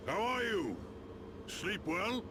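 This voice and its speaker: Jovial old village chief, slow delivery with huge pitch swings, game cutscene, heavy background noise. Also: male voice, very animated, heavily acted. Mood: genial. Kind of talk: video game cutscene voice acting.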